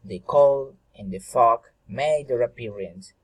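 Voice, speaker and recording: A man narrating a fairy tale dramatically, with halting, deliberate phrasing and occasional stumbles. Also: medium pitch, low-quality recording.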